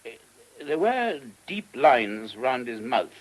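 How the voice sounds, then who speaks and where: An actor playing a measured, thoughtful abbot in a radio play, giving considered and sometimes hesitant answers. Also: male voice, mid-range voice, big pitch swings. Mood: reflective, hesitant.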